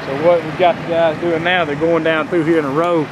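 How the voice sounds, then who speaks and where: A man's voice: a little high, little pitch movement, brisk. Man on a windy roof. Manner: plain-spoken, explains step by step with fillers. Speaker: roofing company owner showing a job site. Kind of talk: casual walkthrough explaining work. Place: outdoors on a large flat commercial roof. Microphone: handheld camera at arm's length. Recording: loud wind and site noise outdoors.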